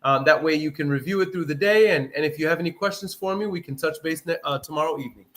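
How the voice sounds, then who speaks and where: Man, house buyer, hyped and casual, slightly high voice. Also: quick pace, fairly bright tone. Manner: upbeat, hyped, says "bro" often. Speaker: house buyer, livestream host. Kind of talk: live sales call and casual chat.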